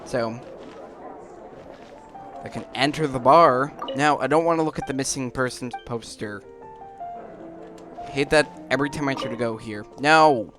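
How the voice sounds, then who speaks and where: A man's voice: medium pitch, unhurried, long pauses, a bit bright. A man giving casual gameplay commentary, thinking aloud as he plays. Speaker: gaming streamer. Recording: game music behind the voice.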